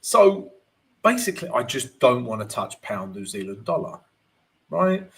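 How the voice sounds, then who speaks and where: A man with a gravelly voice today, hosting a live trading stream and walking viewers through charts. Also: medium pitch, a little slow. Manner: animated, keeps asking "right?", greets chat viewers. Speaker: forex trading livestream host.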